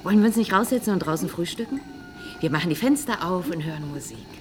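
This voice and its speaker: A woman's voice: fairly low pitch, animated, fast talker, noisy background, close to an everyday voice. An actress playing a probing, teasing female marine biologist in a radio drama, speaking wryly and pointedly. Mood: playful. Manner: wry, teasing, insistent questioning. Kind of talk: 1980s radio drama acting.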